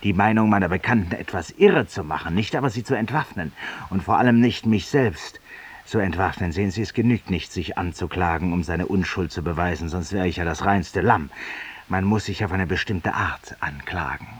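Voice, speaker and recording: Self-accusing ex-lawyer, radio play monologue: low, husky, ironic, confiding, theatrical. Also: male voice, moderate pace. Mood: rueful, confiding. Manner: theatrical, ironic, confiding, dropping to near whispers. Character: cynical, self-accusing male former lawyer confessing to a listener. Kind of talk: 1950s German radio drama monologue. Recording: muffled, roomy 1950s radio recording.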